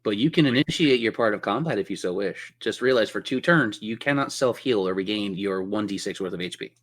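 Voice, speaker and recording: A man's voice: fairly low pitch, lively intonation, a little fast, a bit bright. A man improvising dramatic, descriptive narration as game master of a tabletop roleplaying game.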